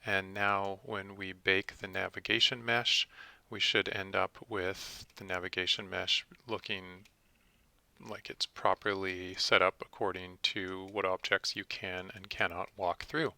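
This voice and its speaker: A man narrating a step-by-step software tutorial in a methodical, instructional manner. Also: low voice, little pitch movement, measured pace, creaky, clear, resonant tone, no room sound.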